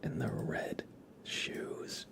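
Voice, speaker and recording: A man livestreaming a game in ASMR style, softly whispering in a relaxed, casual way as he thinks aloud and chats with viewers. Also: drawn-out words. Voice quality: soft, breathy whisper.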